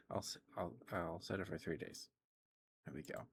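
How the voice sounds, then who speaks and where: A man with a fairly low voice talks as a streamer during a casual ASMR session. He rambles informally, thinking out loud with hesitant, halting phrasing. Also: fairly even intonation, slight background noise.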